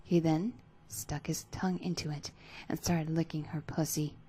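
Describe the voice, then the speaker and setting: Narratrice dalla voce bassa e velata. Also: female voice, fairly even intonation, moderate pace, mellow, breathy edge. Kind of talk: dramatic scripted fan fiction narration.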